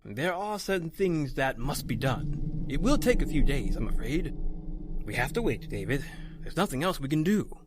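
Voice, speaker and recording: Serious doctor in old radio horror play, deliberate, dramatic, voice kinda high and swoopy. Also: male voice, big pitch swings. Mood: solemn, explanatory. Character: grave, authoritative male doctor battling the supernatural.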